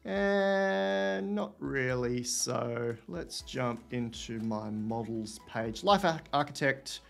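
A man in his thirties hosts a casual livestream, answering viewer questions and thinking aloud as he goes. His voice sits at an everyday middle pitch, picked up by a desk webcam in a room at home, with faint music underneath. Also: lively intonation, slow, vocal fry.

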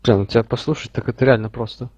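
A man's voice: medium pitch, fairly even intonation, very fast, a little mellow, husky, dry recording, muffled recording. A young man arguing casually with teammates over gaming voice chat with slight noise and clicks. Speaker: team player in online shooter match.

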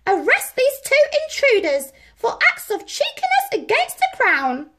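A woman's voice: very high-pitched, huge pitch swings, a little slow, fairly bright tone, pitched above the performer's own voice, heavily acted. A woman voicing an imperious, haughty old queen in a children's storybook. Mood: outraged. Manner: imperious, regal. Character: indignant, haughty old queen who softens.

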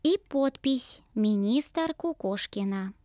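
A woman's voice: on the high side, lively intonation, takes it slowly, noisy background. A woman voicing Petya, a naive first-grade boy who narrates and recites verse in a childlike, sing-song manner. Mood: earnest. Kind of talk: storybook narration and poem recital. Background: loud children's music.

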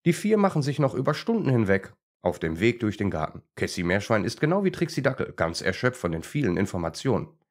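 A man's voice: fairly low pitch, very animated, brisk. A man narrating a scripted story for children as a storyteller.

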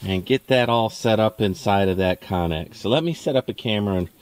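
A man's voice: lowish voice, fairly quick. A middle-aged man narrating his work casually, thinking aloud as a home-building vlogger. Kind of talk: casual narration while working. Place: construction site, outdoors and in a small unfinished insulated room. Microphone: camera microphone, sometimes across the room. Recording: clear background noise, distant at times.

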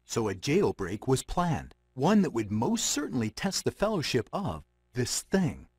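A theatrical fairy-tale narrator for a video game. His husky voice swings widely through playful, dramatic phrases. The sound is close and dry. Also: mid-range voice, huge pitch swings, unhurried, no echo, full character voice. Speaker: storybook narrator.

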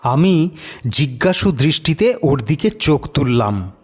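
Male narrator, fairly high voice, very lively intonation, dramatic audiobook style, dry recording. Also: a little slow, breathy edge, dry recording. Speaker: story narrator.

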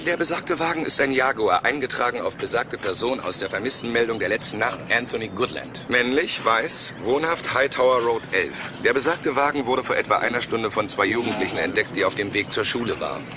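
An actor playing an eager, overly correct police sergeant, formal and deferential, in a German crime audio drama. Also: male voice, mid-range voice, a little fast, few pauses, noisy background, lightly acted. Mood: dutiful. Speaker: detective sergeant.

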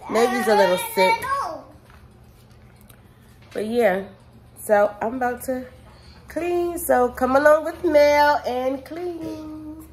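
Chatty young mom vlogging at home. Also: female voice, high voice, slow, pauses often, a little mellow, a little hiss or hum. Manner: rambling, chatty, many fillers like "um" and "like". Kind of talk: casual clean-with-me vlog. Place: apartment living room, indoors. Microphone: phone camera at arm's length.

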